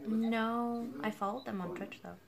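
A woman's voice: a little high, steady tone, unhurried, gravelly, a crowd in the background. A young woman in her twenties, a streamer chatting bluntly with callers from her bedroom. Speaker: streamer taking calls. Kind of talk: casual chat. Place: bedroom with a sofa, indoors. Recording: echoey with callers' voices behind.

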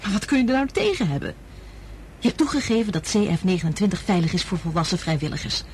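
A woman's voice: medium pitch, big pitch swings, fast, lightly acted. An actress playing an anxious, determined woman scientist in a radio play. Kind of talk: Dutch radio play acting. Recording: noisy old radio drama recording.

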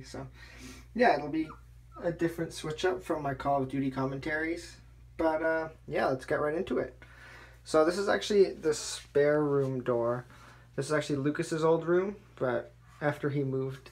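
Young adult male vlogger, a touch high in pitch; informal, low-key home-tour delivery into a handheld camera. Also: normal speed, slight background noise. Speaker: gaming YouTuber. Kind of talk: casual home tour vlog. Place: small furnished basement rooms indoors. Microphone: handheld camera's built-in mic.

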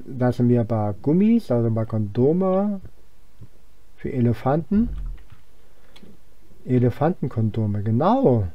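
A man's voice: mid-range voice, lo-fi sound. A young man giving casual gameplay commentary, rambling and thinking aloud as he plays. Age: young adult. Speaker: let's player.

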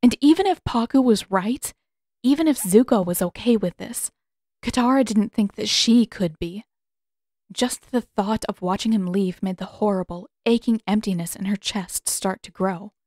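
A woman's voice: medium pitch, normal speed, dark tone, no echo. A woman reading scripted fiction as an audiobook narrator, close to the microphone.

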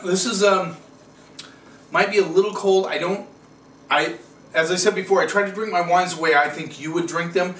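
A man's voice: fairly high pitch, pushed and bright. A middle-aged American man hosting a casual wine review, chatting to camera with rambling asides in a small indoor room. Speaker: wine reviewer and show host. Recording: roomy, distant mic, slight noise.

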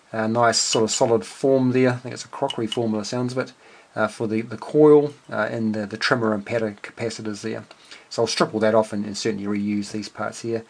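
A man's voice: mid-range voice, slight background noise. A middle-aged man explaining a hobby project casually at his desk, unscripted, hesitant and understated as he thinks aloud. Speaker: amateur radio hobbyist and video host. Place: small indoor room at a cluttered desk workbench.

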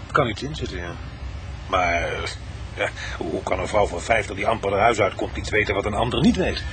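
Actor as sceptical detective, noisy old recording. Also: male voice, on the low side, lively intonation, a little fast, lightly acted. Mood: pondering. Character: matter-of-fact male police detective, thoughtful sidekick. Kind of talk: Dutch radio crime drama acting.

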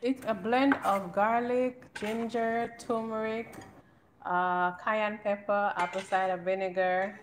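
A woman's voice: mid-range voice, steady tone, takes it slowly. A woman chatting casually as co-host of a live cooking stream, relaying questions and explaining the food. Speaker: co-host reading viewer comments. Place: indoor home kitchen. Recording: noisy kitchen, chopping and clatter. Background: chopping, clicks and clattering dishes.

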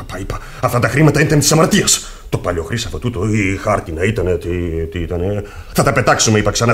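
A man's voice: on the low side, close to an everyday voice. An actor playing a humble, sly working man in a radio play, speaking in a deferential, rustic, hesitant way. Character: humble, sly working-class carpenter, obsequious and pious. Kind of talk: radio theatre acting.

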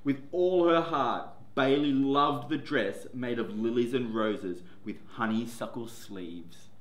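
Slow, expressive male teacher reading aloud. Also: medium pitch. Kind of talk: picture book read-aloud. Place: classroom, indoors. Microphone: camera in front, seated. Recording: echoey classroom.

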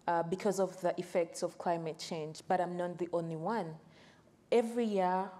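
A young woman, an activist, speaking earnestly and in a measured, deliberate way on a panel. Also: lowish voice, warm tone. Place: stage at an indoor panel event.

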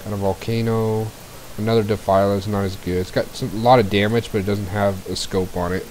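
Low-voiced man streaming a game with a cold. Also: steady tone, a little fast, darkish tone, rough recording. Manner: rambling, easygoing, with fillers and clearing his throat. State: getting over a cold, a bit scratchy. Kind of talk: casual gameplay commentary. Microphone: cheap desk mic.

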